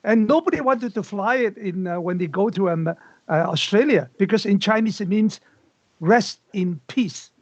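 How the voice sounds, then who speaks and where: High-voiced man on a muffled call. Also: normal speed, no room sound. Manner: chatty, hesitant, laughs while speaking. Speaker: panelist. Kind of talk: online panel discussion. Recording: slightly muffled, dull call audio.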